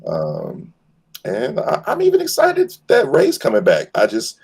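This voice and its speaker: A man's voice: mid-range voice, huge pitch swings, unhurried, creaky, a little mellow, breathy edge. A man talking casually and enthusiastically as a fan on a panel, effusive and slangy. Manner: effusive, slangy, addresses listeners as bro and man.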